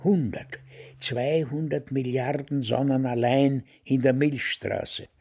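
Gravelly, cantankerous old Austrian widower. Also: male voice, medium pitch, fairly even intonation, slow pace, a little mellow. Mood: bleakly cynical. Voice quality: gravelly, husky. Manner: grumbling, blunt, dismissive. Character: cantankerous, cynical old widower, about ninety. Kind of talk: radio play dialogue.

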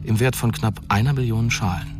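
A man's voice: lowish voice, a little fast, music in the background. A man narrating a scripted science fiction radio drama in a composed, literary manner.

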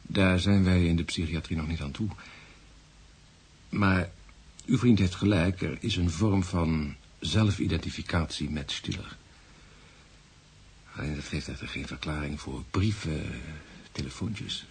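An actor playing a calm psychiatrist in a radio play, coaxing and probing in a measured, clinical way. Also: male voice, deep voice, slightly rough, full character voice. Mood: explanatory. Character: calm, probing male psychiatrist guiding a patient. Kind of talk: Dutch-language radio play acting.

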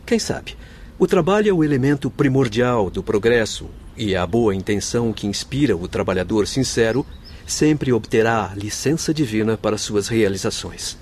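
An actor in a radio soap playing a noble, devoted doctor's spirit, speaking with formal, heartfelt solemnity. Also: male voice, on the low side, animated, moderate pace, a little mellow, a little hiss or hum, natural voice. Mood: grave, kindly. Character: noble grieving Spanish doctor spirit, devoted forgiving husband. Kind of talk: radio soap opera acting.

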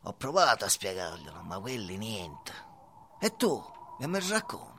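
A man's voice: fairly low pitch, huge pitch swings, moderate pace, creaky, pushed and bright, slight background noise, full character voice. A man playing a sardonic, sly mentor cricket with a mobster air in a theatrical radio play. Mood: amused.

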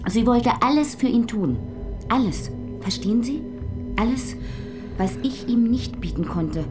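A whispering, jilted poisoner nurse. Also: female voice, a little high, animated, measured pace. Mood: bitter. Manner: soft menacing whispers, drawn out. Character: lonely jilted nurse turned poisoner, eerie. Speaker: murderer. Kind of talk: radio crime drama acting. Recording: radio play with background music.

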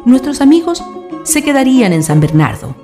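A woman's voice: high voice, sing-song, moderate pace, over music. A woman reading scripted narration for a radio drama.

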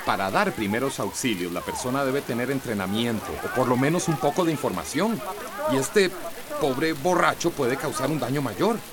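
An actor playing a stern, scolding village doctor with a Central American accent in a radio drama. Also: male voice, medium pitch, expressive, moderate pace, crowd noise, close to an everyday voice. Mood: indignant. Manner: stern, authoritative.

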